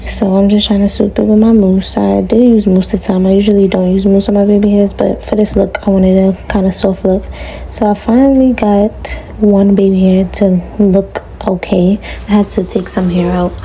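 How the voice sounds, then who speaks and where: A young woman with a raspy voice chatting casually to viewers as she styles her hair, relaxed and full of slang, in a small room. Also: mid-range voice, normal speed, slight background noise, rough recording. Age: young adult. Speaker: beauty vlogger. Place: small room before a green screen.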